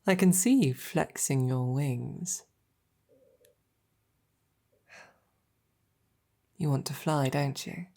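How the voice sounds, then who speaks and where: A woman voicing a devoted, gentle female knight in an intimate ASMR roleplay, soft, breathy and tender, with hesitant pauses. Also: low voice, heavily acted. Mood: tender, encouraging.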